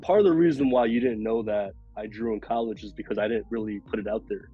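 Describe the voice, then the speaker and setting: A man with a raspy, hoarse voice from a cold, rambling casually as a podcast guest with many fillers. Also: lowish voice, fast, muffled recording, soft background music. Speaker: podcast guest, food illustrator.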